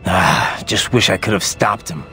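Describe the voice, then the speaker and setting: An actor playing a blunt, determined cyborg mercenary in a video game, with a terse, dry, gruff delivery. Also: male voice, deep voice, normal speed, bright, forceful tone, a little hiss or hum. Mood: serious, determined. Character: sardonic, hard-bitten male cyborg mercenary, blunt and determined. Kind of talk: video game radio dialogue acting.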